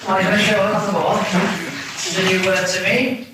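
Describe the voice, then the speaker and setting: A man acting as a brisk, exasperated schoolteacher questioning and commanding his class in a film drama. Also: sits high, little pitch movement, close to an everyday voice. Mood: prompting. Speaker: teacher. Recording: noisy, echoey old film classroom soundtrack.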